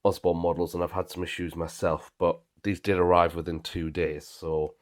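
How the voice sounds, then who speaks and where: A man with a bunged-up, slightly breathy voice from a cold, chatting casually through a hobby how-to in his garage workshop. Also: low-pitched, normal speed. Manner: chatty, relaxed, talks through each step with fillers. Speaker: channel host and model railway builder. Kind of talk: casual how-to vlog narration.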